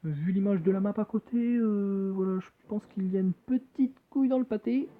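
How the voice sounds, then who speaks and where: A man's voice: high-pitched, normal speed. A man giving casual, hesitant commentary as he plays a phone game and reads its text aloud, with many fillers and jokey asides. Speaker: gamer playing on a phone. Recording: tinny phone-like screen recording with faint game music. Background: faint game music.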